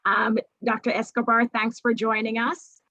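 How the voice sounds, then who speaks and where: A woman with a fairly high voice moderates an online panel. She reads a prepared introduction in a formal, measured manner, and the sound is very dry, with no room echo. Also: completely flat delivery, sharp tone. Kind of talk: reading a scripted speaker introduction. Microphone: video call.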